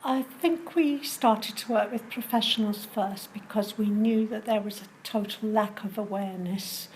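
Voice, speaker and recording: A woman who works in community safeguarding, explaining her work at a measured pace with pauses in a recorded interview. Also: medium pitch, darkish tone, slight background noise, rough recording.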